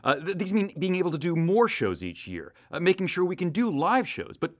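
A man's voice: high-pitched, very animated, brisk. A man speaking as a podcast co-host, adding show news in a conversational way. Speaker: co-host of an audio theater podcast.

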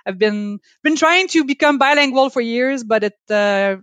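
A woman from Quebec with a Quebec French accent, a native French speaker talking as a park service guest, pausing often with fillers and restarts. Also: a little high, expressive, a bit bright, dry recording. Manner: hesitant, frequent fillers and restarts. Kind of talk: casual interview chat. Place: home, calling in remotely.

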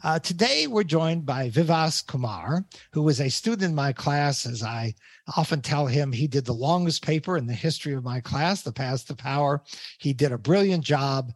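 An older man, a professor hosting a podcast, introducing and questioning a guest in a deliberate, emphatic way. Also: on the high side, animated, dry recording. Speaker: podcast host.

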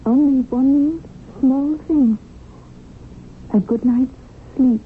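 A very high-pitched wounded, plain wife in an old radio drama. She is knowing and quietly reproachful, placing each phrase with deliberate care. The recording is dull and noisy, like an old broadcast. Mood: knowing, needling. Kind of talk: 1970s radio drama acting.

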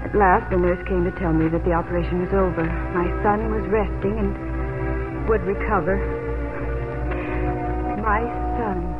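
A woman's voice: lowish voice, little pitch movement, measured pace, pauses often, natural voice. An actress playing an emotional, tender adoptive mother in a 1950s radio drama, earnest and soothing. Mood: grave, reflective. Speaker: lead actress and narrator. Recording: old radio broadcast, narrow band, music behind.

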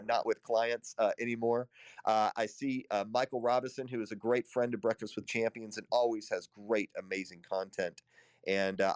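Upbeat motivational male host, lowish voice. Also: dry recording. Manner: energetic and encouraging, addresses listeners directly. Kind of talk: motivational hosting on a live audio show.

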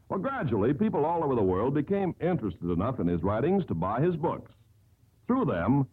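A man with an American accent, a 1950s radio announcer reading scripted narration in a formal, measured, oratorical way. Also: medium pitch, breathy edge. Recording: tinny, band-limited 1950s radio broadcast.

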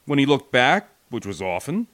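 Animated American male storyteller, dry close sound. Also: medium pitch, moderate pace, no room sound. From United States. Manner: expressive, wry, folksy delivery. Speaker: audiobook narrator. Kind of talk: dramatic scripted storytelling of a war memoir.

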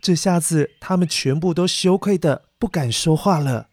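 A man's voice: fairly high pitch, moderate pace, a little mellow, a little hiss or hum. A man hosting and narrating a children's story, addressing young listeners in a coaxing, explanatory way. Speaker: children's story podcast host.

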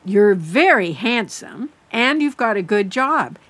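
A woman's voice: mid-range voice, big pitch swings, slow pace, fairly bright tone, heavily acted. An actress playing an anxious, prying middle-aged mother in an audio drama, fussing and rambling as she talks. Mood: reassuring, earnest. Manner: fussing, rambling, hesitant when defensive. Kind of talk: naturalistic audio drama dialogue.

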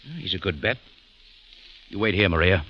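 A man's voice: deep voice, animated, moderate pace, husky. An actor playing a tough, wry hero who narrates his own story in a laconic, hard-boiled 1940s radio style. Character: tough, wry man running a cafe, first-person narrator. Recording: dull old radio recording.

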